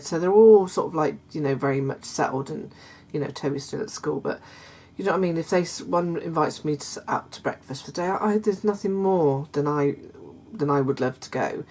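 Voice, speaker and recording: A middle-aged woman, chatting casually to her viewers in a croaky voice, hesitant with many fillers and restarts. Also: sits low. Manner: warm confiding tone toward viewers. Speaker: vlogger. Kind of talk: casual chat to camera.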